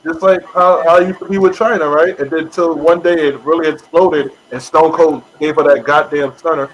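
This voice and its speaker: A man co-hosting a casual wrestling podcast, talking in an animated way and addressing wrestlers directly. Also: on the high side, moderate pace, low-quality recording. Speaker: podcast co-host. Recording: faint background noise.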